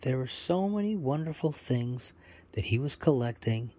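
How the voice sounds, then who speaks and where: Husky man telling an animated bedtime story. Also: medium pitch. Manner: halting, repeats words for emphasis. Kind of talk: improvised storytelling for children.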